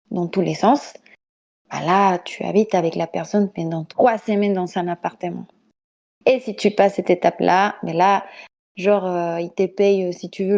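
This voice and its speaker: A woman's voice: lowish voice, gravelly, mellow. A woman from Mexico with a Spanish accent, chatting casually and laughing often, with many hesitations. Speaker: podcast guest. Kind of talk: casual discussion over voice chat. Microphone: home microphone on voice chat.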